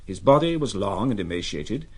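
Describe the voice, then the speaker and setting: A man acting as narrator of a literary adventure drama, telling it in a measured, descriptive way with a husky voice. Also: lowish voice, very animated, a little mellow. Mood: measured, vivid.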